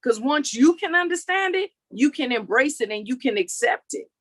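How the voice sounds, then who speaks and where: A woman in her forties gives a motivational talk in a high voice, her country accent blending Texas twang with Maryland. She speaks with emphasis and keeps checking in with "okay" and "all right." The recording is dry and close, with no room sound. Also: expressive, moderate pace, no echo. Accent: mix of Texas and Maryland country. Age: 40s.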